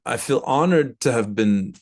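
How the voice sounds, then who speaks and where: A man's voice: medium pitch, lively intonation, normal speed. An American man in his thirties talking reflectively in an interview, searching for his words and repeating some for emphasis. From Brooklyn, United States. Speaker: composer and violinist interviewee.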